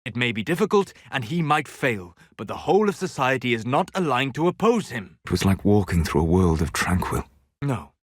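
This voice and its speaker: A man playing a calm, scholarly, melancholy elven mage in a video game, speaking in deliberate, formal phrases. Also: mid-range voice, no room sound, studio quality. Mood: grave, measured. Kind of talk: scripted video game character dialogue.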